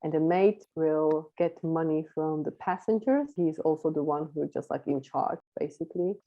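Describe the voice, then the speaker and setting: A young woman with a low, dark voice, chatting with lots of "like" fillers and easy laughter. She is heard over a video call, and the audio sounds dull and lo-fi. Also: moderate pace. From Czech Republic. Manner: lively, chatty, laughs often, many "like" fillers. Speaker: interview guest, volunteer traveler. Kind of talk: casual storytelling. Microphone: video call webcam.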